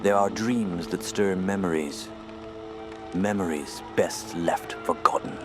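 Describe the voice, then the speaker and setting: An actor playing a stern, troubled older male archaeology professor in an audio horror drama, gruff, suspicious and intense. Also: low voice, slow. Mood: haunted, intense. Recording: loud music bed behind.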